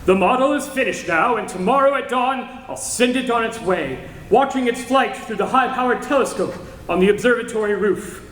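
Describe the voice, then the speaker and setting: A man's voice: very high voice, lively intonation, moderate pace, fairly bright tone. A man acting a grave, passionate young alien scientist foretelling doom in solemn, declamatory old-time radio drama style. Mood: weary, resolved. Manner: solemn, declamatory, melodramatic delivery. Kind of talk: 1940s radio serial acting recreated on stage. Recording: echoey hall recording with background noise.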